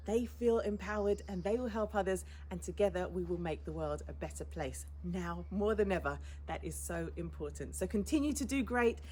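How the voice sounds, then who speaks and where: A woman's voice: mid-range voice, a little mellow, slight background noise. Encouraging woman giving an award address. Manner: encouraging, upbeat. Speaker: guest speaker at an award ceremony. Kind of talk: warm congratulatory address.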